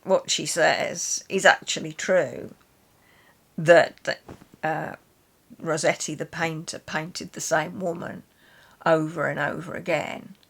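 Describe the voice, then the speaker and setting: Low-voiced woman, slow and unhurried. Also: fairly even intonation, gravelly, fairly bright tone, slightly rough. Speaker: guest reader on a literature chat channel. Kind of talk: casual book chat and poetry reading.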